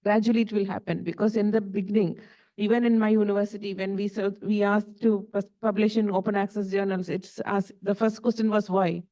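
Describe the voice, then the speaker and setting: Woman panelist, mellow warm tone, earnest, rhetorical questions, some restarts. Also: mid-range voice, little pitch movement, fairly quick, low-quality recording. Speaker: university panelist. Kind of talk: panel discussion answer. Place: conference hall.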